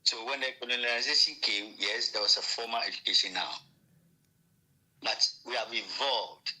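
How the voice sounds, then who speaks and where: Man with a bright, tinny-sounding voice. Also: medium pitch, slightly rough. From Ghana. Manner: stammering repeats, slow and deliberate. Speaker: guest on a remote line. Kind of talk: interview answers over a call.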